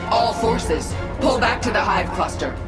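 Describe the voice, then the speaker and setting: A woman's voice: mid-range voice, lively intonation, measured pace. A woman voicing a fierce, commanding alien swarm queen in a video game, giving orders through an echoing effect. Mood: urgent battle cry. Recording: echoing voice under loud game music.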